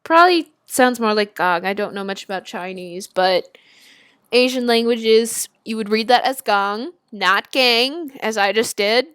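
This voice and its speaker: High-voiced young woman reading aloud. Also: measured pace, clean tone, no room sound, clean studio sound. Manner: chatty, expressive, giggly asides. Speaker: YouTuber reading and reacting to fan fiction. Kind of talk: casual read-aloud with reactions and asides.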